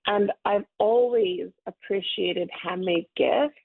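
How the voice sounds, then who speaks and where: Friendly rambling woman in her 30s from hawaii, a bit of vocal fry, phone line sound. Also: medium pitch, slow pace. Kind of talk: casual interview.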